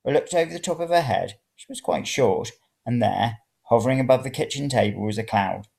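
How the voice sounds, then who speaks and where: A narrator reading first-person serial fiction in an expressive, dramatic way. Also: medium pitch, brisk, gravelly. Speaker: story reader.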